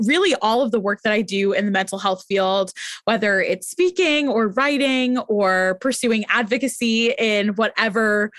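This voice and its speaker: A woman's voice: mid-range voice, fairly bright tone, no room sound, studio quality. A woman in her thirties talking as a guest over a video call, chatty and upbeat, with lots of casual fillers. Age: 30s. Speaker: guest, mental health advocate.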